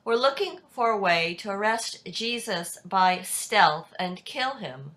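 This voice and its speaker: A woman narrating a scripted scripture reading in a deliberate way. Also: medium pitch, animated, a bit bright. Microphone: video call.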